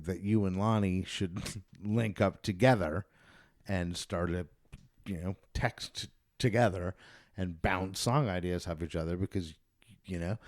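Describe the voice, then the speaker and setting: A man with a fairly deep voice talks casually as a podcast host. He rambles in a jokey way and swears freely. The sound is very dry, with no room echo. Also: darkish tone. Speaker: podcast host and band musician. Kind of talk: casual chat.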